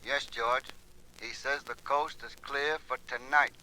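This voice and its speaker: An actor playing a businesslike go-between arranging a crime in a 1940s radio drama, giving precise instructions. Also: male voice, mid-range voice, fairly even intonation, unhurried, bright, forceful tone, low-quality recording. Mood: businesslike, sinister. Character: businesslike, methodical male go-between arranging a murder. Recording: old 1940s radio broadcast recording.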